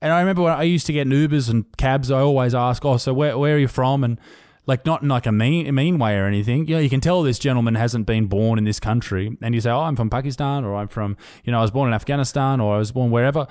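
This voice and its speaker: An Australian man talking on a podcast in a middle pitch, blunt and profane, his thoughts running on. The sound is dry and close with no room around it. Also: fast, darkish tone, clean tone, dry recording. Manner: blunt, swears freely, loose run-on talk. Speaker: podcast host. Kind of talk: casual chat.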